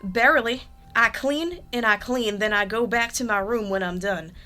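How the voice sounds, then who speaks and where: Woman doing a curt unimpressed maid, sharp bright voice, sardonic, radio play style. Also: a little high, normal speed, faint music behind. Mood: irritated. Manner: clipped, impatient, sardonic. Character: curt, jaded household maid, unimpressed by questioning. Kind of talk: radio drama acting.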